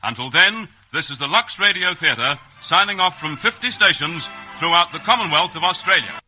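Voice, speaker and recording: A man's voice: a little high, animated, moderate pace, low-quality recording. A man reading scripted credits as the announcer of a mid-century radio theatre. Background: loud music.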